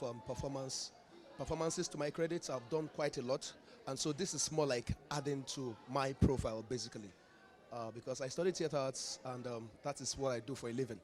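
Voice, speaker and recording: A man with a Nigerian accent, a stage actor answering interview questions in a hesitant, halting way. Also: mid-range voice, a little mellow.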